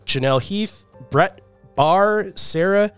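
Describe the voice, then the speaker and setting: A man's voice: sits high, takes it slowly, clean tone, faint music behind. Congested man co-hosting a podcast. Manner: loose, chatty, with many fillers. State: congested from a cold. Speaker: podcast co-host. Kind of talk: casual podcast chat.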